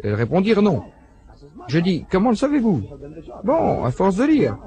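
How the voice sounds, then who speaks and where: Animated male voice-over narrator. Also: high voice, moderate pace. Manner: animated storytelling, acting out quoted dialogue. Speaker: voice-over translator. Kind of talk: French voice-over of a lecture.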